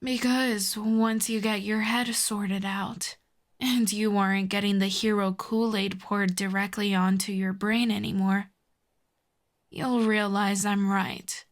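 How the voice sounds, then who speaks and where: Slow, haughty, teasing villainess played by a woman. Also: mid-range voice, little pitch movement, close to an everyday voice. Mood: smug, fond. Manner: haughty, teasing, slow deliberate delivery with soft whispers. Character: proud telepathic villainess, secretly fond of a hero. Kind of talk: intimate ASMR audio roleplay.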